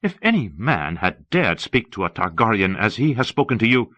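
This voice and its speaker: Guy doing a proud cutting queen for an audiobook, higher pitched, contemptuous, sharp and up close. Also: sing-song, moderate pace, no room sound, dull audio. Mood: scornful, goading. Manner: contemptuous, pointed, raised pitch. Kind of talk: audiobook character acting.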